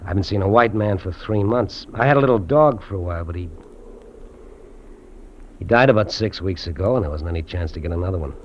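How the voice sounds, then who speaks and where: An actor playing a lonely, lovestruck fugitive trapper, earnest and confiding, in 1950s radio drama style. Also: male voice, sits low, fast talker, lightly acted. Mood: wistful, tender. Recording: dull, noisy old radio recording.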